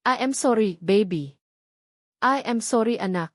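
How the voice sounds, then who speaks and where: Woman acting stern, protective Filipino father, raised pitch. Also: expressive, a little slow, no echo, clean studio sound. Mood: sorrowful. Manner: serious, emphatic. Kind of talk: dramatic audiobook character dialogue.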